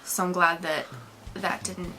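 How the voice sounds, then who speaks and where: A woman's voice: fairly low pitch, little pitch movement, measured pace. A woman co-hosting a casual movie review, talking informally and enthusiastically with slang.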